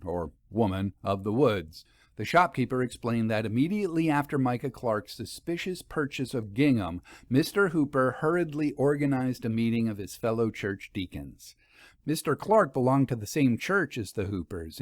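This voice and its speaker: A man giving an articulate, expressive scripted narration of a story. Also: mid-range voice, dry recording, studio quality. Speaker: audiobook narrator.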